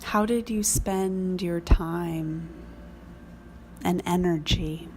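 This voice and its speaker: A woman leading a guided prayer meditation in measured, reflective phrases with long pauses. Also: lowish voice, a little mellow, a little hiss or hum.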